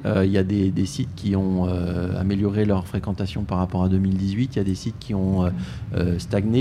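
A man with a deep, warm voice talks through a live radio interview about his tourist office. He hesitates and restarts often, and a light hiss sits under the recording. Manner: hesitant, many fillers and restarts.

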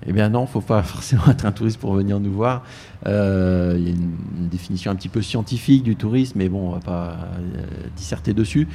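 Radio guest, male, very low and dark voice, halting delivery with many fillers, faint background hiss. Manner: hesitant, many fillers and restarts. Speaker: tourist office director. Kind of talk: live radio interview.

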